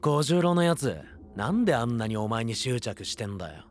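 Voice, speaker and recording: An actor playing a brash, cocky gang-boss warlord in an anime audio drama, with rough slangy speech and swagger. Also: male voice, mid-range voice, very animated, brisk, soft background music, natural voice. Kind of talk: Japanese anime voice drama acting.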